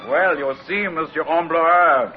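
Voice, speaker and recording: An actor playing a jovial, boastful privateer captain in a radio drama, suave and mocking. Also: male voice, on the high side, unhurried, soft background music, lightly acted. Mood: mocking, smug. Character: smug, jovial French privateer captain, adult man. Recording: muffled, noisy old radio recording.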